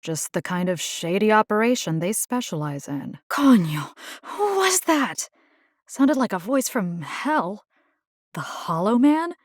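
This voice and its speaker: Dry studio sound, wry young game heroine, caring, plucky, lively mid-range pitch. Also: female voice, a little slow, no echo. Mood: curious, wry. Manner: casual, wry, expressive, slipping into Spanish slang. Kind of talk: video game character voice lines.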